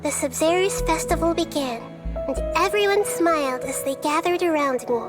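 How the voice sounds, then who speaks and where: A woman playing a small, innocent girl deity, gentle and wistful, telling a storybook-like tale in a game teaser. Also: extremely high pitch, moderate pace. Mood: gentle, dreamy. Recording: game teaser with background music.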